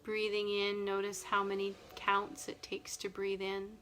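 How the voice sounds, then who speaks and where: A woman from Nova Scotia guiding an evening breathing meditation in a slow, soft, soothing way. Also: mid-range voice, completely flat delivery, slight background noise. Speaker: meditation guide. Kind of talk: guided relaxation meditation. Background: music and ocean wave sounds.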